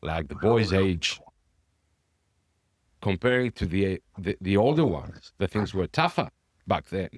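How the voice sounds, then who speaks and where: Slow, deep-voiced man. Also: expressive, dry recording, studio quality. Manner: slow, halting speech with restarts. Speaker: podcast guest. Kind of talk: casual chat.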